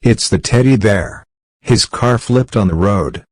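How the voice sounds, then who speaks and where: A man's voice: lowish voice, measured pace, big-room sound, natural voice. A man playing a kindly, heroic father lion in a children's toy story, speaking in simple phrases to young listeners. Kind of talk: children's bedtime story acted with toys.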